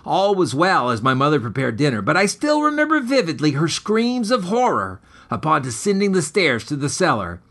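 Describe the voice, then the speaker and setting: A man's voice: fairly high pitch, sing-song, moderate pace, few pauses, a bit bright. A man reading scripted material as author and host, with a melodramatic, ornate storytelling manner. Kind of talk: scripted dramatic story narration.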